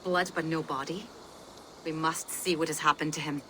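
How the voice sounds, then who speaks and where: A woman's voice: sits low, fairly even intonation, normal speed, a bit bright, a little hiss or hum, poor audio. An actress voicing a composed, cautious village mother who speaks politely in a video game. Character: composed, wary village mother with local knowledge. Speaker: game character. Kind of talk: video game cutscene acting.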